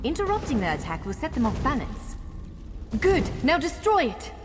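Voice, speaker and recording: A woman playing a spirited warrior guide in a video game, brisk and encouraging but candidly wary. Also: high voice, huge pitch swings. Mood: urgent, encouraging. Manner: brisk, encouraging, urgent in combat. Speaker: game companion and tutorial guide. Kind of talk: scripted video game dialogue. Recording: long hall reverb, clear music behind. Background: game music.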